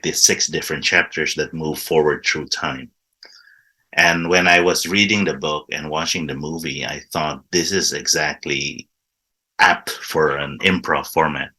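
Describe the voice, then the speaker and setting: A man's voice: rumbling low, bright, forceful tone. A man from Indonesia, a guest and improv teacher, explaining his format in a casual interview with frequent restarts. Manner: enthusiastic, restarts words often, explanatory.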